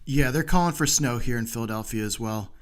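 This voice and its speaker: A man's voice: mid-range voice, fairly quick. An American man hosting a podcast, introducing and interviewing in polite, orderly phrases. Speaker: podcast host.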